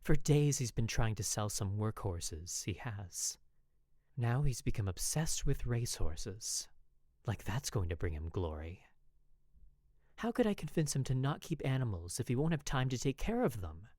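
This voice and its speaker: An expressive, measured narrator reading a first-person dramatic story. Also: mid-range voice, no room sound, studio quality. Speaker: podfic narrator.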